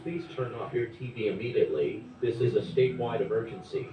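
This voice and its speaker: A man's voice: on the low side, animated, fairly quick. A man reading an emergency broadcast alert in a stiff, repetitive way. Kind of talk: flat emergency broadcast read. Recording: echoey, distant, noisy.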